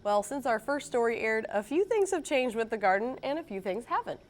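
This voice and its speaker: A woman presents a regional TV show in a friendly, even way. Her voice is on the higher side. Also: fairly quick. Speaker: host. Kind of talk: scripted TV hosting.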